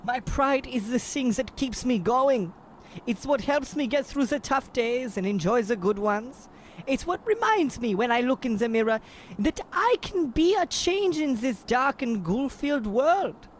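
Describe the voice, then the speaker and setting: A man's voice: very high voice, expressive, unhurried, slight background noise. A man playing a melodramatic, proud Bavarian boxer with a German accent in a comic radio play. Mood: proudly solemn. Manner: pompous, overwrought, flowery. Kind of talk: old-time radio play parody.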